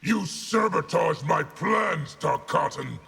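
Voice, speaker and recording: A man voicing a stern, scornful, zealous general in a video game, with a gravelly, imperious delivery. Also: on the high side, lively intonation, slow pace, full character voice. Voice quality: gravelly, hard-edged. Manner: imperious, forceful. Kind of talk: video game fighting character dialogue.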